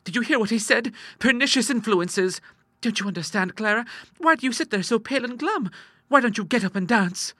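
A man's voice: very high-pitched, animated, a little fast, pushed and bright, dry recording, pitched above the performer's own voice, heavily acted. A man voicing a mischievous, bold young lady in an audiobook, with a gushing, teasing, girlish manner. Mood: triumphant. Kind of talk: audiobook character acting.

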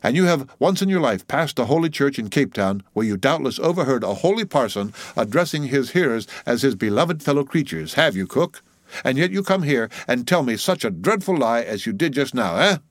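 A man's voice: medium pitch, big pitch swings, brisk, no echo, clean studio sound, pitched above the performer's own voice. A man voicing a bossy ship's officer in an audiobook sea story, commanding and teasing with mock solemnity. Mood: stern teasing.

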